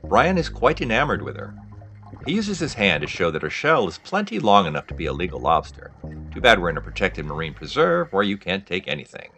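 A low-pitched man narrates a dive film in scripted documentary style. His voice is bright and forceful with animated intonation, explaining things in measured sentences over music. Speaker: narrator, scuba diver.

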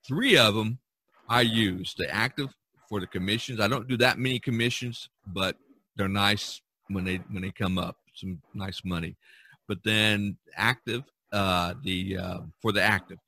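A man's voice: lowish voice, unhurried, pushed and bright, no room sound. A man hosting a casual podcast about art, talking in a rambling, enthusiastic way and repeating himself. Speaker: podcast host and artist.

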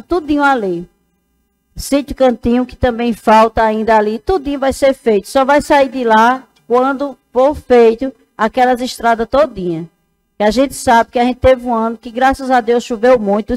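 A woman's voice: on the high side, darkish tone, poor audio. Earnest councilwoman at the lectern. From Northeast Brazil. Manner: plain earnest speech with fillers, addresses colleagues. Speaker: city councilwoman. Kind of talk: speech at a council session. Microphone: lectern microphone.

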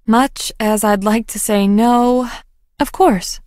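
A woman's voice: a little high, fairly even intonation, slow, darkish tone, no echo. Earnest, folksy young woman scientist, dry recording. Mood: wistful, confiding. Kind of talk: video game voice acting.

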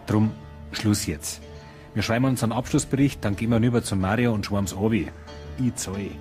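An actor playing a matter-of-fact, resigned police detective in a radio crime drama, blunt and colloquial, with a Bavarian accent. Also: male voice, on the low side, normal speed, a little mellow, faint music behind, natural voice.

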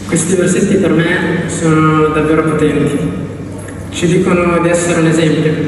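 A man delivering a sermon in an earnest, somewhat halting way, pausing and repeating phrases as he goes. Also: on the high side. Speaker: church preacher. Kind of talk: sermon with scripture reading. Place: large reverberant church hall. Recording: very echoey hall, distant mic, background noise.

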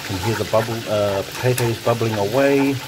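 A man casually narrating his home cooking, relaxed and chatty with frequent ums, filmed on a phone over the stove. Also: medium pitch, brisk. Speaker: home cook vlogger. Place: home kitchen. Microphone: phone camera held over the stove. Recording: noisy home kitchen phone recording. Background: sizzling and bubbling pots.

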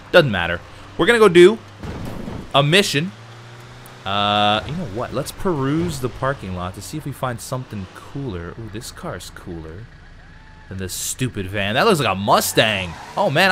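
A man's voice: mid-range voice, normal speed, a little hiss or hum. A man with a cold and a slightly nasal voice, chatting casually as he plays a video game for viewers. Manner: chatty, excitable, talks to viewers. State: has a cold, a bit nasal. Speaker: let's play host. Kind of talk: casual gameplay commentary.

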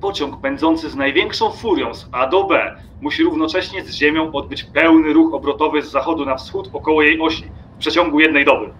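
An actor playing an irritable, contemptuous train passenger in an audio drama, lecturing obsessively and emphatically. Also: male voice, fairly high pitch, brisk, few pauses, a bit bright, dull audio, slight background noise, close to an everyday voice. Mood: irritated, emphatic.

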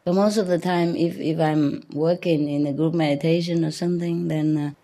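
A chatty middle-aged woman speaking in a lowish, mellow voice to a group gathered around her. She laughs often and trips over her own sentences, close on a desk mic. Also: fairly even intonation, normal speed. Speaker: spiritual teacher. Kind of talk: informal talk to a seated group. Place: room with paper screens, audience in a hall. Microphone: desk mic on a stand.

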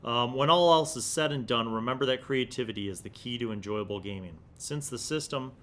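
Man reviewing, with low rumble behind. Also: fairly quick, fairly bright tone. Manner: enthusiastic, emphatic. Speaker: host of a tabletop gaming magazine review series. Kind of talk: casual review commentary mixed with reading aloud. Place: small indoor studio room. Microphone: desk microphone close in front.

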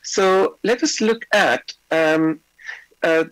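A middle-aged man, slightly hoarse, giving a conference lecture remotely from his office over a video call, with many fillers. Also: high voice, slow, a bit bright, no room sound, poor audio. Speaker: invited lecturer. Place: indoor office.

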